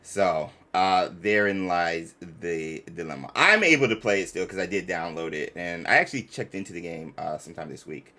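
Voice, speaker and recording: A man's voice: low-pitched, lively intonation, moderate pace, hardly any pauses, bright, forceful tone. A man co-hosting a livestream in casual, slangy chat with a playfully indignant manner. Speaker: streamer co-host.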